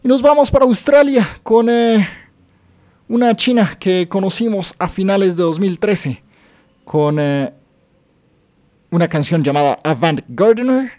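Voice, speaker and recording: A man hosting a music radio show, introducing songs in a relaxed, casual way with frequent "eh" pauses. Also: high-pitched. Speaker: radio DJ and host.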